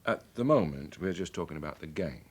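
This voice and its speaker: An actor playing a brisk, authoritative senior police officer in a radio drama, measured and matter-of-fact. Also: male voice, very deep voice, expressive. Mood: grave, briefing. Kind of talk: naturalistic radio drama acting.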